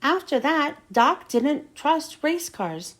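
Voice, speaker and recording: A woman reads a children's story aloud. Her voice is high and swings up and down a lot, with dramatic emphasis on the storytelling. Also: big pitch swings, takes it slowly, fairly bright tone. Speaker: children's book reader.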